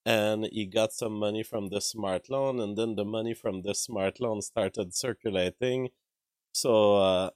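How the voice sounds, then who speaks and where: A man hosting a live stream, hoarse from a cold, talking in a halting way with many fillers and throat-clearing. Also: on the low side, no echo. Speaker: livestream show host. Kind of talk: casual live-stream commentary reading chat. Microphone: streaming microphone.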